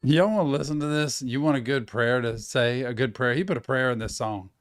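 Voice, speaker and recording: Man, reaction host, a bit hoarse, mid pitch, keen, quotes lyrics back, lots of approving yeps. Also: brisk, dry recording, clean studio sound, soft background music. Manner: enthusiastic, repeats lyrics back and affirms with yeps. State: losing his voice. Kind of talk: casual music reaction commentary.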